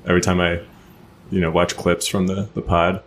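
A man's voice: low voice, little pitch movement, a little fast, a little hiss or hum. A man co-hosting a podcast, chatting in an enthusiastic, teasing way. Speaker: podcast co-host. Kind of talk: casual chat.

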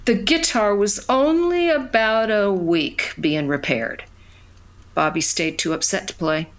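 An actress playing a wistful, wonderstruck woman who tells her memories conversationally in an audio drama, with halting repeats. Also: mid-range voice, natural voice. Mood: wistful wonder. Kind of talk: first-person storytelling in an audio drama.

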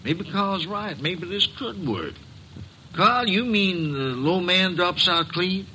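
A man's voice: high voice, lively intonation, takes it slowly, sharp tone, slight background noise, heavily acted, rough recording. An actor playing an eager, nervous business partner in a 1940s radio drama, jumpy in his delivery.

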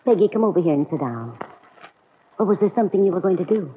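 An American actress playing a kindly, concerned middle-aged aunt in a classic radio serial, speaking in a soothing, genteel, reflective way. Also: female voice, lowish voice, lively intonation, quick pace, natural voice. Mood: gentle, chatty. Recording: noisy, narrow-band old radio recording.

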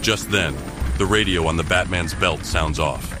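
Deep-voiced male drama narrator. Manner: measured, descriptive. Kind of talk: scripted narration of an audio drama. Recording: loud music score behind.

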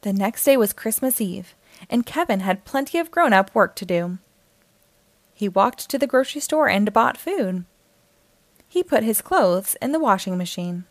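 A woman's voice: medium pitch, expressive, normal speed, no echo. A woman narrating a storybook aloud for young children.

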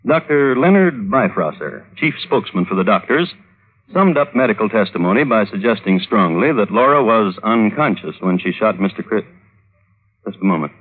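A low-voiced, droll male storyteller in a vintage radio drama. He narrates scandal with mock solemnity and very varied intonation. The sound is narrow and muffled, like an old broadcast. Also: expressive, moderate pace, breathy edge. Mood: droll, dry.

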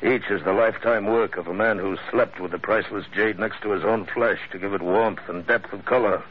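An actor playing a suave middle-aged villain in an old-time radio drama, speaking in courtly, ornate sentences with polite menace. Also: male voice, lowish voice, fairly even intonation, slightly rough. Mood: reverent. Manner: courtly, unhurried, ornate formal speech. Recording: muffled, phone-like old radio recording.

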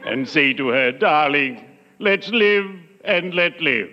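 An actor playing a genteel, gloomy undertaker with morbid wit and florid, formal diction in 1940s radio comedy style. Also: male voice, fairly high pitch, animated, takes it slowly. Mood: morbidly jovial. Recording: muffled, noisy old radio recording, reverberant.

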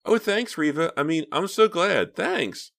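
A man voicing an eager, excitable teenage girl with a breathy little-girl voice, in an audiobook reading. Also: on the high side, unhurried, voice pushed up, exaggerated voice. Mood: excited. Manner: excited, gushing. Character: young teen girl, giddy and eager.

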